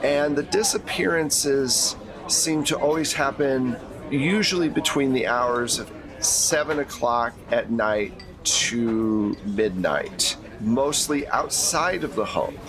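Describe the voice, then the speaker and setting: A man's voice: medium pitch, animated, slow, a crowd in the background. A man acting as game master, giving a conversational, explanatory briefing in a tabletop roleplay.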